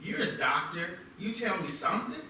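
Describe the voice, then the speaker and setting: A sceptical, foul-mouthed police commander in a horror drama, played by a man. He barks blunt questions in a high, forceful male voice, distant and heavily echoed. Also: sing-song, moderate pace, slight background noise, exaggerated voice. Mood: sceptical, demanding. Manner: blunt, demanding. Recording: echoey room, distant mic.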